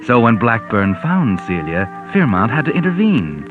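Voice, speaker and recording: Thin, phone-like old radio sound with music behind. An actor plays a sardonic American mystery narrator, low and knowing, with a theatrical, widely swooping delivery from the 1940s. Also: male voice, big pitch swings, moderate pace, natural voice. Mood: knowing, mocking. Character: sly, omniscient sardonic narrator of crime tales.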